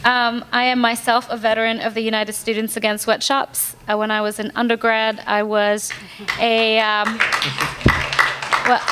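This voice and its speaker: A woman's voice: a little high, level tone, normal speed, background noise. A woman in her thirties who grew up in Indonesia, speaking as a panelist and explaining her points earnestly, with frequent fillers. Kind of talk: panel discussion answer. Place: conference hall.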